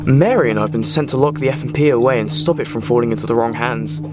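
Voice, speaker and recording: A man playing an inquisitive, authoritative time-travelling doctor in an audio drama parody, measured, serious and polite. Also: medium pitch, over music, close to an everyday voice. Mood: polite, earnest.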